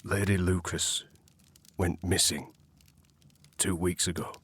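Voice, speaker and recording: An actor playing a halting, respectful, sombre manservant with a husky voice in a period audio drama. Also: male voice, low-pitched. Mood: sombre, apologetic. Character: apologetic, deferential manservant of a great house.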